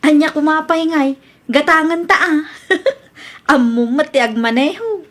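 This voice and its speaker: A woman's voice: high-pitched, measured pace, fairly bright tone. A woman playing a boastful, stubborn, cheerfully bossy wife in an Ilocano comedy radio drama, with an Ilocano Filipino accent. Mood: coaxing, breezy.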